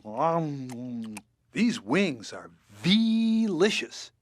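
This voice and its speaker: A man voicing a droll, hesitant author making a cameo in an animated comedy, delivered deadpan. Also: fairly high pitch, very slow, slight background noise, heavily acted.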